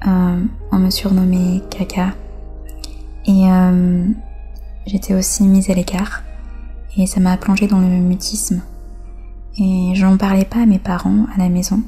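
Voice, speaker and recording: A woman from France giving personal testimony as an interview guest, speaking reflectively with hesitant pauses. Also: on the low side, monotone, mellow, slightly rough, soft background music.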